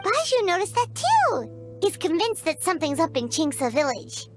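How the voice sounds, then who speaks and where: A woman voicing a tiny, excitable, childlike fairy companion in a video game, squeaky and inquisitive. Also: moderate pace, soft background music, full character voice. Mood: curious. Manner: exclamatory, inquisitive. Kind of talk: video game character acting.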